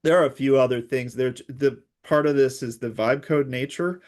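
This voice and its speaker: A man speaking as an expert guest in an interview, explaining technical security matters in a conversational, measured way. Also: medium pitch, darkish tone.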